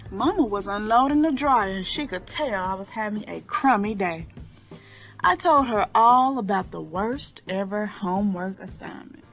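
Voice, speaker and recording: A woman reading a picture book aloud to children in an expressive storytelling style, drawing out key words. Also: medium pitch, normal speed, soft background music.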